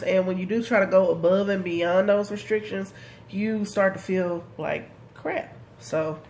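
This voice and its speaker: A woman's voice: lowish voice, slight background noise. A woman in her thirties giving a casual video diary update, congested from a head cold and talking in a chatty, rambling way full of ums and likes. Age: 30s. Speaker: vlogger.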